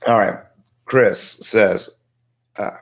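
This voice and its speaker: A man in his forties with a tiring voice, talking through political commentary in a halting, reflective way as a livestream host. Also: fairly low pitch. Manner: halting, reflective, thinking aloud. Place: small home workshop, indoors.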